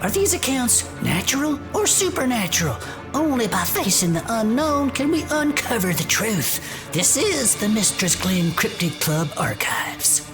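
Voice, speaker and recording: A woman voicing a solemn, mysterious archive announcer, reading a dramatic scripted intro in a deliberate, portentous way. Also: on the high side, very animated, over music. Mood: ominous.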